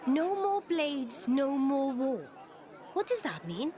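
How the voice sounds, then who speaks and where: An actress voicing a brisk, blunt young female companion, matter-of-fact and dry, in a video game cutscene. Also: sits high, sing-song, dark tone, a faint crowd, heavily acted. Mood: serious.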